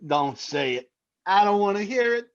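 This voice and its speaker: A man playing a gruff, slangy, grudging skeptic in a 1950s radio comedy style. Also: high-pitched, animated, moderate pace, dull audio. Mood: uneasy. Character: gruff, skeptical grown man who doubts Santa.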